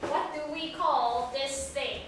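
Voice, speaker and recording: Woman, teacher, high-pitched, slow deliberate pace, simple questions, encouraging, distant mic. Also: steady tone. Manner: simple instructional phrasing with questions and repetition; encouraging, praising answers. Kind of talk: English lesson to a young class. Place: echoey classroom, mic at a distance. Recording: very echoey room, distant mic, faint noise.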